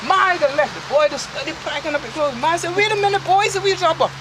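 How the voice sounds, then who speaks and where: A very high-voiced woman with a Bajan accent tells a folk tale in lilting creole and acts out each voice in it. She comes from an old clip played back from a distance, with clear outdoor noise and passing traffic behind her. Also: animated, quick pace. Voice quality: lilting creole twang, voices quoted characters. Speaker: storyteller.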